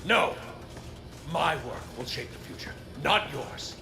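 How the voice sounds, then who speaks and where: An arrogant scientist villain for a video game. He speaks slowly and theatrically, with big melodic swings and a grandiose menace. His voice rings in a long reverb over music. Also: on the high side, expressive, vocal fry, exaggerated voice. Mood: indignant, defiant. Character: arrogant, obsessed male scientist villain building nanobots. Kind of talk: video game cutscene acting.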